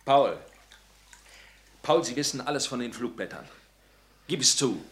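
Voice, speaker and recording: A man's voice: mid-range voice, lively intonation, measured pace, husky. A man playing a hard, commanding group leader. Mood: interrogating. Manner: commanding, interrogating, shouting in bursts. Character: stern young male resistance leader, hard and commanding. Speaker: radio play actor. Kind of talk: radio drama acting.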